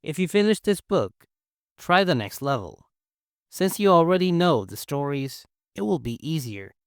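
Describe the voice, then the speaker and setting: A young man from China with a Chinese accent, whose first language is Mandarin, teaching English in an encouraging, clear and simple way. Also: fairly high pitch, big pitch swings, normal speed, dry recording, clean studio sound. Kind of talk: scripted English-learning lesson.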